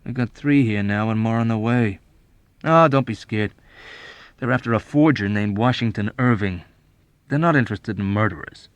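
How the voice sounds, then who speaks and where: A man's voice: on the low side, normal speed, clean tone, dry recording, lightly acted. A man voicing a wry, exasperated young bombardier in an audiobook war novel, speaking in an emphatic, sardonic manner. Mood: calm.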